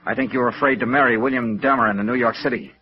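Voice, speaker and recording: An actor playing a steady, patient male investigator trying to talk someone down, in 1950s radio noir style. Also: mid-range voice, very fast, close to an everyday voice. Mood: calm, persuasive. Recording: dull lo-fi old radio recording.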